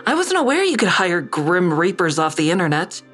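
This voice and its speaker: Blunt, guarded female lead: deep-voiced, dry first-person narration and dialogue for audio drama. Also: sing-song, normal speed, fairly bright tone, soft background music. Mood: wary, sardonic. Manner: dry, terse. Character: guarded, blunt, defiant woman narrating her story.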